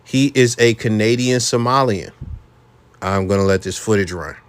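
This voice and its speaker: A man's voice: lowish voice, normal speed, smooth. An American man hosting a reaction commentary, talking bluntly and casually with frequent profanity. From United States. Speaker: commentary channel host.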